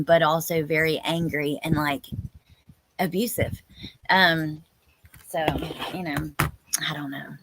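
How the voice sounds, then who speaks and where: A woman's voice: lowish voice, a bit bright, low-quality recording. A woman in her thirties hosting a live stream, chatting informally and exclamatorily with viewers and reading out their comments.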